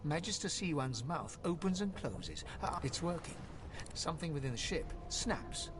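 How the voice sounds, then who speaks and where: A man's voice: fairly high pitch, expressive, normal speed, over music, lightly acted. A man giving scripted game narration in a descriptive, storybook way. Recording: distant narration with noise.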